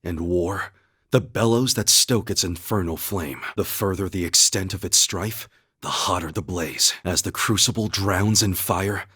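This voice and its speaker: An actor playing a calm, earnest young warrior in a video game, humble and loyal, with a measured, formal way of speaking. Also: male voice, sits low, husky, heavily acted. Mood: grave. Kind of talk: scripted video game voice acting, battle cries and story dialogue.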